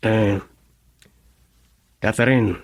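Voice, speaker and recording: A man's voice: fairly low pitch, fairly even intonation, drawn-out words, dry recording. A man playing a young, sweet-talking student suitor in a radio drama. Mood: teasing. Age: young adult. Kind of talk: Ilocano radio drama acting.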